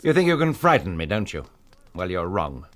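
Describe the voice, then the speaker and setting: An actor playing a proud upper-class English gentleman in a radio drama, curt, commanding and coolly formal. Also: low voice, sing-song, normal speed. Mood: coldly defiant.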